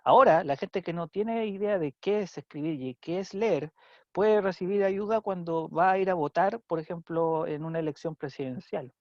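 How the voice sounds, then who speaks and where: A man's voice: high-pitched, normal speed, dry recording, highs cut off. A male teacher with a Chilean Spanish accent giving an online civics class, chatty and checking in often with his students. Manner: conversational, checks in with frequent "¿ya?".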